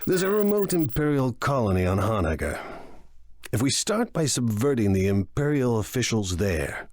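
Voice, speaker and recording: An actor playing a proud, ruthless crime lord in a video game, with an imperious, deliberate, menacing delivery. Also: male voice, medium pitch, big pitch swings, a little mellow, natural voice. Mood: scheming. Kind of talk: dramatic video game acting.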